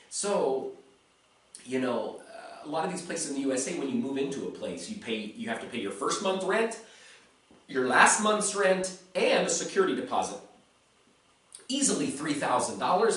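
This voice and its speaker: A man's voice: a little high, a bit bright. An American man talking casually in a blunt, rambling vlog, swearing freely and a little tipsy. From USA. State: slightly tipsy. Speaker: vlogger. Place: home in Serbia. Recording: very echoey room, mic far off.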